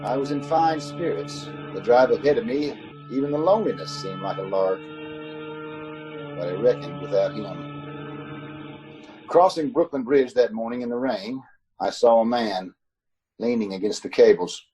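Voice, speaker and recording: A man acting a haunted traveler in his thirties in an old-time radio drama, with a husky voice and an urgent, confessional delivery. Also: medium pitch, normal speed, long pauses, over music, close to an everyday voice, poor audio. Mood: brooding. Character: haunted 36-year-old man, anxiously recounting his road trip.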